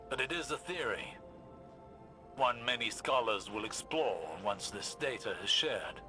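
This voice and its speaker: Grave, bright-voiced sci-fi scholar. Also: male voice, medium pitch. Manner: deliberate, formal, precise phrasing. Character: grave, learned male scholar in a sci-fi world, measured and cautious. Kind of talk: dramatic video game dialogue.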